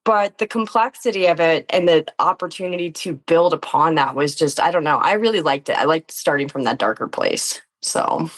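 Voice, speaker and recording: A woman speaks as an interview guest in a slightly low voice. Her style is casual and informal, with a self-effacing way of talking. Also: moderate pace, hardly any pauses. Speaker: interview guest, tabletop game performer. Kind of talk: casual chat.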